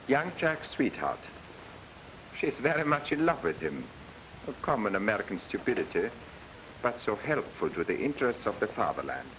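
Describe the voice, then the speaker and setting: An actor playing a gloating, contemptuous Nazi agent who narrates a 1940s propaganda film in a clipped, imperious manner. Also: male voice, on the low side, sing-song, normal speed, fairly bright tone, a little hiss or hum. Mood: sneering. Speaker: narrator of a military training film. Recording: muffled 1940s film soundtrack, music behind.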